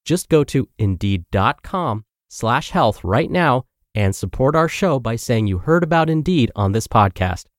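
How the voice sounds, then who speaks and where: An American man hosting a podcast, reading and explaining in a clear, instructive, emphatic way. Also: mid-range voice, big pitch swings, unhurried, few pauses, no echo, studio quality. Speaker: podcast host and nutrition teacher. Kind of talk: scripted narration and spoken commentary.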